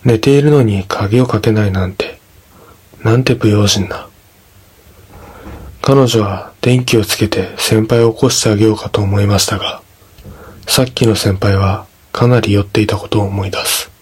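A man narrating a scripted ghost story in a measured, dramatic storytelling manner. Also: on the low side, fairly even intonation, husky.

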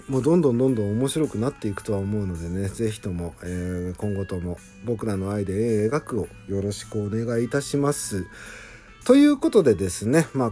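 A man speaks alone in a casual podcast style, effusive and emphatic. His pitch swings widely over a mellow, slightly husky tone. He stretches words out for emphasis. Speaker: host, original author of a radio drama. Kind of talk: casual solo podcast talk.

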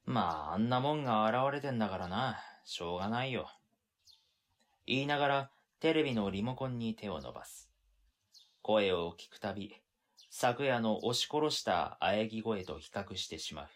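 A man's voice: lowish voice, expressive, normal speed, natural voice. A man playing an exasperated, long-suffering young man who narrates his own story in an audio drama. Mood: tense narration. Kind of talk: first-person scripted narration in audio drama.

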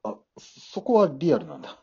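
A man's voice: a little high, huge pitch swings, a little fast, mellow, slightly rough, highs cut off, exaggerated voice. A man acting in an amateur radio drama as an awkward, remorseful middle-aged father, hesitant and easily flustered. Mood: babbling, curious.

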